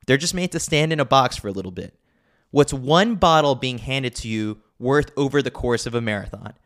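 A man's voice: medium pitch, a bit bright. A man narrating a scripted sports newsletter in a wry, opinionated way. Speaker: newsletter reader and host.

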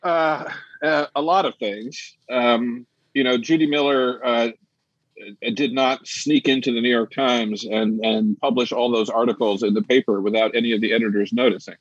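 An older man answers in a slow, deliberate way, pausing on fillers. His voice is low, and it sounds set back from the mic. The audio is dry and somewhat muffled. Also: steady tone, dry recording. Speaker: guest, former newspaper editor. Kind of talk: measured interview answer.

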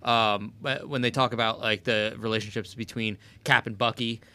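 A man co-hosting a casual chat, animated, swearing freely and tossing off quick asides. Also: lowish voice, smooth.

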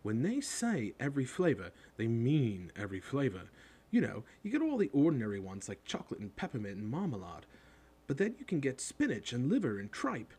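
Man as young schoolboy, blunt, chatty, casual, animated pitch, husky edge, storybook character. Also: fairly quick. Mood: warning, chatty. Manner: matter-of-fact, chatty.

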